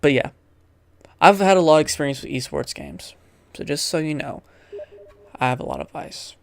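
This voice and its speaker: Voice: medium pitch, normal speed, vocal fry, a little hiss or hum. A gamer narrating a visual novel aloud, stumbling over the text and breaking into rambling asides. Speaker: let's player reading a visual novel aloud. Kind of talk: gaming commentary and read-aloud narration.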